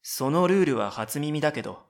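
A man's voice: a little high, lively intonation, brisk. A man voicing a dry-witted, exasperated middle-school boy who narrates and makes matter-of-fact retorts in a comedic radio drama.

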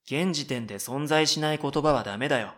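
A man's voice: on the high side, fairly quick, fairly bright tone, natural voice. A man voicing a dry-witted, exasperated middle-school boy who narrates and makes matter-of-fact retorts in a comedic radio drama.

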